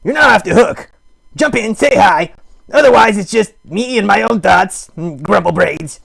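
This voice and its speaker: A man voicing a needy, melodramatic puppet streamer, theatrical, sarcastic and mock-grand, with a gravelly, husky voice, improvising a comic livestream. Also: high-pitched, lively intonation, measured pace, fairly bright tone, full character voice. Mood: excited, mock-grand. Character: melodramatic, needy puppet live streamer with big wry bravado. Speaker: host of a live puppet show stream.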